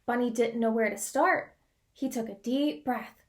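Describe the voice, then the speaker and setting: Female children's storyteller, high-pitched, expressive picture-book read with drawn-out key words. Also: a little hiss or hum.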